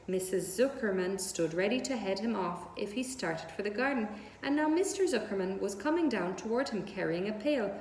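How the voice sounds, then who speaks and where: A woman's voice: medium pitch, animated, moderate pace, hardly any pauses, darkish tone, slight background noise. A woman reading a children's story aloud as narrator. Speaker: story reader. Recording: echoey room with long reverb.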